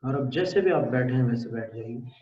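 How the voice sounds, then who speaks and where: A man with an Indian accent leading a guided meditation, speaking calmly and deliberately with pauses. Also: mid-range voice. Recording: echoey room, dull muffled sound.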